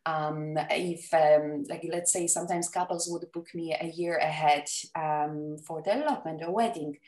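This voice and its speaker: A woman's voice: deep voice, fairly even intonation, moderate pace, darkish tone, recorded from a distance. A woman from Poland with a Polish accent, a wedding photographer chatting enthusiastically as a podcast guest, with many fillers and restarts. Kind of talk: casual interview chat. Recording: echoey room, off the mic, faint music.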